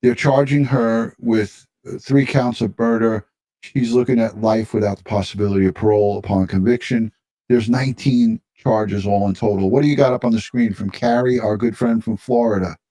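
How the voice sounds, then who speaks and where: A man's voice: lowish voice, steady tone, moderate pace, mellow. A man giving casual true-crime commentary as a live stream panelist, opinionated and repeating himself for emphasis.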